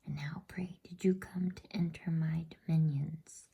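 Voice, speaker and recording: A proud witch queen from a bedtime storybook, acted out by a woman, speaks in a breathy whisper. She is haughty and commanding, questioning coldly, with a soft, mellow tone. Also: low voice, steady tone, unhurried, heavily acted. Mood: haughty, stern. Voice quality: whispered, husky.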